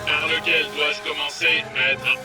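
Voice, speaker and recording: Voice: medium pitch, level tone, a little slow, loud music behind the voice, lightly acted. An actor voicing a clumsy, servile robot henchman in a children's cartoon, obediently addressing a master. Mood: servile, eager. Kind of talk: French-dubbed children's cartoon acting.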